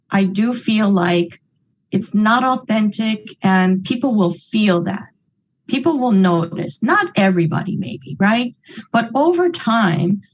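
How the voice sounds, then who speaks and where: A woman's voice: mid-range voice, a little hiss or hum, poor audio. A woman from Hawaii giving a motivational sales talk in an earnest, chatty way, often checking in with "okay?" and "right?". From Hawaii, United States. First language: English. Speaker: network marketing leader.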